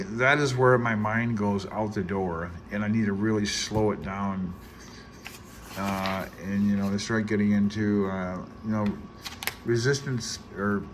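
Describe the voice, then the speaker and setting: A man's voice: lowish voice, fairly bright tone. Rambling man on a noisy recording. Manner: rambling, halting, self-deprecating. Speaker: amateur radio hobbyist making study progress videos. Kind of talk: casual unscripted vlog, thinking aloud.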